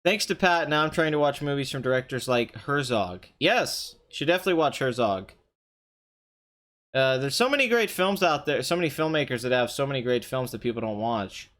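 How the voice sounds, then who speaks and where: A young man with a somewhat high, nasal voice, strained and hoarse, chatting casually on his live stream and answering viewers' comments. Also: lively intonation, fairly quick, a bit bright. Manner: chatty, rambling, often clears throat, reads chat aloud.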